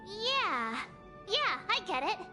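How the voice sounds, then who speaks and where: A spirited young girl adventurer from a video game. Her voice is extremely high and bright, swinging animatedly as she talks in a curious, casual, girlish way. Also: slow pace, full character voice. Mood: puzzled. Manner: expressive, informal, girlish. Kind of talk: scripted video game character dialogue. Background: background music.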